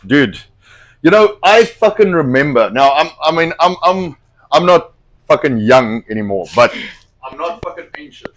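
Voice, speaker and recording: Middle-aged male host, slightly hoarse, blunt and profane, casual cooking chat. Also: a little high, very animated, a little slow, fairly bright tone. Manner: rambling, blunt, swears a lot. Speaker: host cooking on a live stream.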